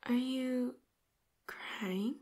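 A devoted young robot girl with a possessive streak, played by a woman in an audio roleplay. She murmurs in a breathy, slightly high half-whisper. She takes her time, lingering over each word as she dotes on her Master. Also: extremely slow, darkish tone, full character voice. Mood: tender. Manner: doting, speaks to listener as Master. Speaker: voice actor.

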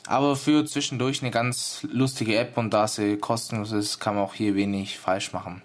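A man's voice: fairly low pitch, steady tone, normal speed. A man giving a casual, informal demonstration of an app, explaining it step by step as its reviewer.

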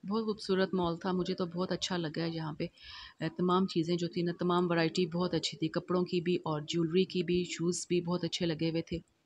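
Flat, low-pitched woman narrating a vlog. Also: fairly quick, slight background noise. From Pakistan. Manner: chatty, homely narration with frequent fillers. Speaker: vlogger.

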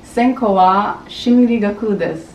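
A woman's voice: mid-range voice, measured pace, darkish tone, a little hiss or hum. Young woman in an echoey bedroom. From Brazil. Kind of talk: short self-introduction to camera. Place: small bedroom with a desk. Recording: echoey room, mic a bit far.

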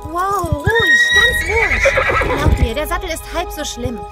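An actress playing a kind, determined young horse rider in a children's cartoon, gentle and encouraging. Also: female voice, very high voice, big pitch swings, normal speed, loud music behind the voice. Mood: soothing.

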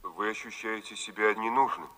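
An actor playing an attentive, businesslike male operator from the future in a Soviet radio play, brisk and official. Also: lowish voice, sharp tone. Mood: attentive, probing.

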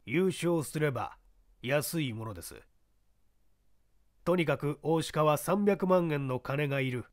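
A man voicing a shrewd baseball talent scout who talks in a measured, persuasive way in an audiobook. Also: on the high side, expressive.

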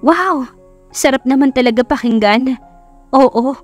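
A woman's voice: high-pitched, a little slow, faint music behind, pitched above the performer's own voice. A woman playing a feisty young wife in an audio love story, snappy, sarcastic and easily annoyed. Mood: bitter sarcasm.

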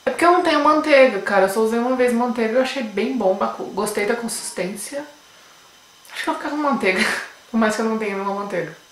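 A woman's voice: a little high, fast. A young Brazilian woman in her twenties with a Brasília accent, chatting playfully to viewers as a beauty vlogger, recorded on a webcam in a room at home. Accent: Brasília Portuguese. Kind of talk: casual chat answering a beauty tag. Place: small room at home with bookshelf, indoors. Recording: roomy home room echo, faint noise.

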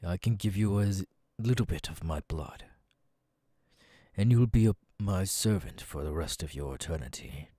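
A man voicing a menacing, haughty, sadistic demon king in an ASMR audio roleplay, soft-spoken and condescending. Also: low-pitched, moderate pace, slightly rough, no echo, studio quality. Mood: calm, threatening. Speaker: voice actor.

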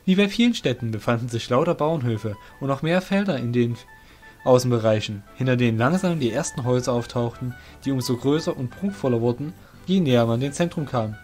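A man's voice: medium pitch, expressive, fairly quick, darkish tone, soft background music. A man narrating a fantasy audio drama in a scripted storytelling style.

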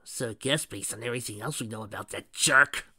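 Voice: mid-range voice, brisk, slightly rough, no room sound, studio quality. A performer voicing a brash, hot-headed young female pegasus pony with a challenging, boastful manner. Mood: incredulous. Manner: loud, challenging, incredulous.

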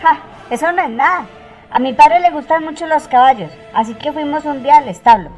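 A boastful radio caller played by a woman spins a spooky tale. The voice is high and soft-toned, with faint music behind. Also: fairly quick, close to an everyday voice. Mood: boastful, suspenseful. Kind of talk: phone-in storytelling in a radio drama.

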